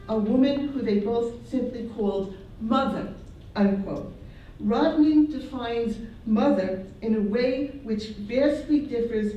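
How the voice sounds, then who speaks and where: A woman reads her scholarly paper at an unhurried pace, with a soft, mellow tone and occasional restarts. She is picked up from across an echoey classroom. A faint hum of room noise sits behind her. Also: on the high side, distant mic. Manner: slow, measured reading with restarts. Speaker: symposium presenter. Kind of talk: academic paper reading. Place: university classroom.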